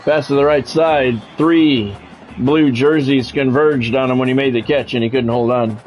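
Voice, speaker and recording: A man's voice: medium pitch, steady tone, moderate pace, a little mellow, a little hiss or hum, low-quality recording. A man streaming a football video game, mixing sports play-by-play with casual, salty chat to viewers and swearing freely.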